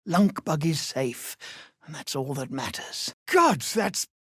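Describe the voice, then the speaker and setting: Slow, earnest, weary gnome survivor. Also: mid-range voice, lively intonation, fairly bright tone, breathy edge, dry recording, studio quality, exaggerated voice. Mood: weary, tender. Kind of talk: video game character dialogue.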